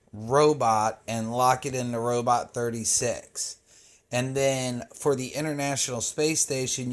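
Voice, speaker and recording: A man giving an informal, step-by-step how-to narration as the host of a screen-recorded tutorial. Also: medium pitch.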